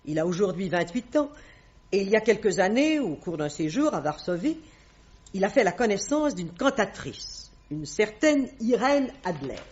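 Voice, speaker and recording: A woman's voice: mid-range voice, very animated, darkish tone, natural voice. An actress playing a proud middle-aged queen mother in a French radio drama, speaking formally and haughtily on a dull old recording. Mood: grave, confiding. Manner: haughty, formal, measured diction.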